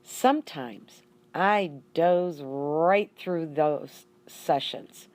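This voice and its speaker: A woman reading a children's storybook aloud in an expressive way, acting out the dialogue. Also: low voice, extremely slow. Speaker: audiobook reader.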